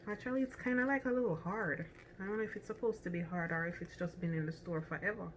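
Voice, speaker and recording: A woman's voice: lowish voice, fast talker, slight background noise, poor audio. A woman with a Jamaican accent chatting casually through a cooking demonstration in her home kitchen, thinking aloud with lots of ums and restarts. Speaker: cooking vlogger.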